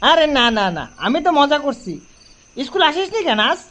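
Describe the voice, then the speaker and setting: Audio storybook friend: young rural man male actor, very high voice, teasing and chatty. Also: very animated, moderate pace, sharp tone, full character voice. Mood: teasing, friendly.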